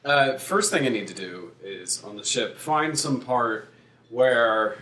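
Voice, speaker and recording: A man playing a tabletop roleplaying game, talking casually and in a rambling, animated way. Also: medium pitch, takes it slowly. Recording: roomy, distant mic, faint noise.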